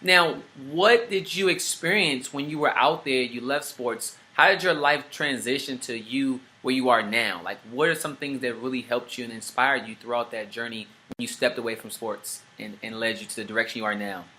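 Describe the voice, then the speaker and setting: A man's voice: medium pitch, normal speed, hardly any pauses, pushed and bright. A man hosting a podcast interview over a video call, with an upbeat, hyped-up way of introducing his guest. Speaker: podcast host.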